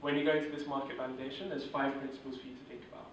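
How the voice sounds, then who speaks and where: A man leads a workshop in a loose, conversational teaching style with plenty of ums and restarts. His voice stays flat and even in pitch. It sounds caught by a distant mic in a very echoey room with a faint hiss underneath. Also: very fast. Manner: steady, monotone delivery with filler words. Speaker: workshop instructor. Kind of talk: informal teaching talk.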